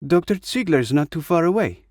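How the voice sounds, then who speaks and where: A man voicing a brisk, teasing, exasperated older woman in a narrated story. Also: on the high side, big pitch swings, a little mellow, dry recording, studio quality, voice pushed up. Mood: brisk, scolding.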